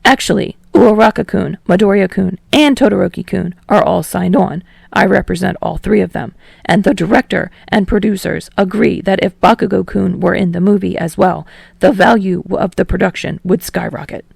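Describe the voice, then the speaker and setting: A formal young man, played by a woman reader in an audiobook story. He is polite, businesslike and measured, with a faint creak and a dry, close sound. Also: medium pitch, fairly even intonation, a little mellow, no room sound.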